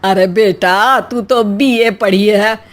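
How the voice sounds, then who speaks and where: A woman's voice: mid-range voice, steady tone, slow, natural voice. A woman playing an exasperated, sarcastic older Indian mother-in-law in a comic story, scolding and teasing in colloquial speech. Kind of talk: acted Hindi comic story dialogue.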